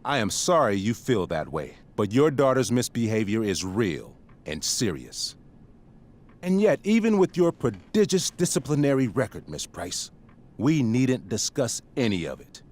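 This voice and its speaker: A man's voice: medium pitch, very animated, unhurried, darkish tone. A man playing a stern, middle-aged school principal in a video game, speaking in a formal, verbose and officious way. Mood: grave, disapproving. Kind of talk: dramatic video game acting.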